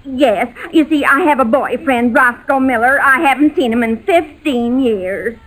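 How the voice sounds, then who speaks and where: An actress playing a naive, fretful, lovelorn maid in a 1940s radio comedy, folksy and earnest. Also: female voice, high voice, unhurried, a bit bright. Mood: confiding. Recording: faintly noisy old 1940s radio recording.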